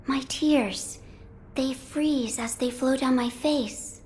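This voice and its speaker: A woman playing a gentle young holy maiden in a video game, speaking in a formal, measured and delicate way. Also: sits high, slight background noise. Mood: serene, solemn. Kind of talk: video game cutscene acting.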